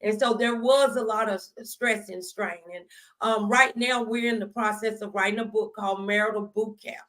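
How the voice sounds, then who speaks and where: A woman hosting a casual talk show, speaking in an expressive, folksy, emphatic way. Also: medium pitch, normal speed, poor audio. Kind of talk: casual motivational interview chat.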